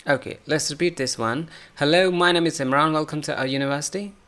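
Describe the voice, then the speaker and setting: A man teaching English pronunciation, modelling phrases slowly and then repeating them quickly for his student to copy. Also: fairly high pitch, a bit bright, a little hiss or hum. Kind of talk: slow drilling of spoken phrases.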